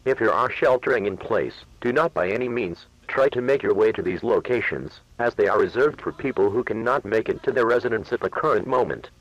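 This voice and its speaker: A man playing a grave, authoritative emergency alert announcer, reading formal scripted instructions in a husky voice over dull broadcast audio. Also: fairly low pitch, expressive, a little fast, hardly any pauses, no room sound. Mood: grave, urgent.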